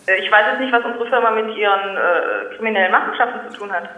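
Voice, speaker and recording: A man plays a baffled company clerk on a comic phone call, very high and bright in pitch and tone. He stays formal and polite yet hesitant and incredulous, in an echoey recording. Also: quick pace.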